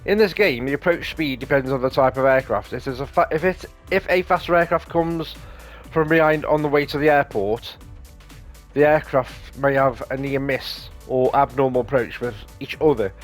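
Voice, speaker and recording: Male gameplay commentator: average pitch, informal, walks through on-screen instructions, rambles a little, faint game music underneath. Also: moderate pace. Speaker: gaming video host. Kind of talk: casual game commentary mixed with reading tutorial text aloud. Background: light game music.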